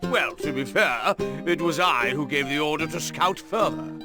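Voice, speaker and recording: An actor playing a gruff, hot-headed medieval knight with an emphatic, forceful manner in a video game. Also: male voice, a little high, unhurried, music in the background, lightly acted. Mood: defensive. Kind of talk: video game briefing acting.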